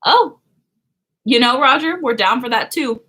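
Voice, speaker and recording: A woman with a bright, somewhat high voice chats loosely on a livestream. She rambles, giggles often and reads viewer comments aloud. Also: expressive, unhurried, husky. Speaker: livestream co-host. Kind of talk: casual livestream chat with a sibling.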